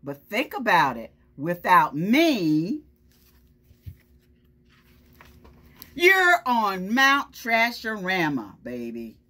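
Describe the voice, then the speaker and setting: A woman's voice: mid-range voice, big pitch swings, slow pace, heavily acted. Woman putting on a brash bragging garbage truck. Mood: boastful. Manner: brash, boastful, shouting orders. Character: boastful loud garbage truck, brash and proud.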